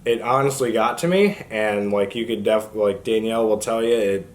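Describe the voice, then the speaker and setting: A man's voice: on the low side, moderate pace. Casual man chatting about books. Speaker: book review channel host. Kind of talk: casual book chat to camera.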